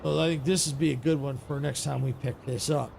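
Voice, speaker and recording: Gravelly-voiced man streamer signing off. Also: mid-range voice, steady tone, brisk, background noise. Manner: rambling, many restarts and fillers. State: voice getting raspy. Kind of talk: casual stream sign-off chat.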